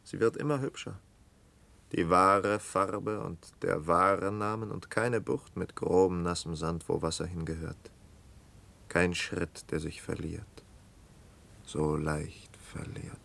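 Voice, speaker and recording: An actor playing a brooding, haunted killer who confesses in halting fragments in a literary radio drama, sometimes close to whispering. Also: male voice, sits low, fairly even intonation, creaky, a bit bright, full character voice. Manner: halting, intense, dropping into near-whispers.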